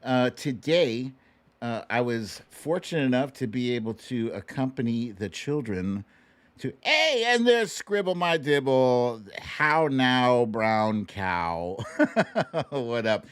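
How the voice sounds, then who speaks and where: Male livestream host, playful and sing-song, highly varied pitch, unhurried pace, informal chat. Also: big pitch swings, clean tone. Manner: playful, exclamatory, sing-song with silly catchphrases. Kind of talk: casual livestream chat, greeting viewers.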